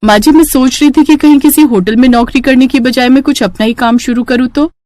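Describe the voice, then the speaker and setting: A woman's voice: sits high, fairly even intonation, brisk, mellow, no echo, studio quality, voice pushed up, lightly acted. A woman voicing a polite, deferential young daughter-in-law in a cartoon story. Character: polite, hard-working young daughter-in-law, secretly a police inspector. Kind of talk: Hindi cartoon moral story.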